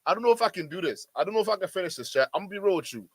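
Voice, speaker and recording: Incredulous high-voiced male streamer. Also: expressive, racing, breathy edge, no room sound. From Nigeria. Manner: exasperated, incredulous, talks straight to chat. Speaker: streamer reacting to a livestream. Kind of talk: livestream reaction commentary.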